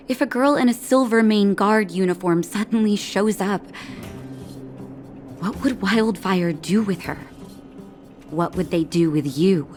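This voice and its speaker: A woman playing a caring, knowing doctor in a video game, gentle but firm, with music behind. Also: mid-range voice, natural voice. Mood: firm, wary. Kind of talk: scripted game cutscene acting.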